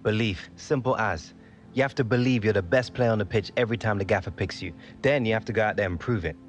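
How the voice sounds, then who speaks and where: A man's voice: fairly low pitch, fast, faint music behind, natural voice. A young man playing a confident rising star footballer in a video game cutscene, talking in a blunt, self-assured way. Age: young adult.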